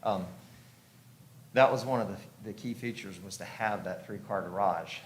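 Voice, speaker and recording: A man's voice: fairly low pitch, normal speed. A man giving an informal explanatory presentation at a public hearing, conversational and thinking aloud as he goes. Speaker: house designer presenting to a review commission. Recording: echoey room, clearly audible background noise.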